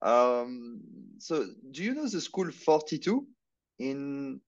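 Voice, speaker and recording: A man's voice: medium pitch, very animated, slow, dull audio. A man, a podcast guest speaking hesitantly and searching for his words. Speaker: podcast guest, marketing consultant. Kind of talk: casual interview chat.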